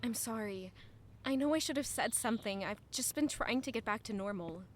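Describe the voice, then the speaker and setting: A woman's voice: on the high side, a little fast, slight background noise, natural voice. An actress playing a guarded, wistful young lady-in-waiting in an audio drama, speaking earnestly and introspectively. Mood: apologetic. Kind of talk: audio drama narration and dialogue.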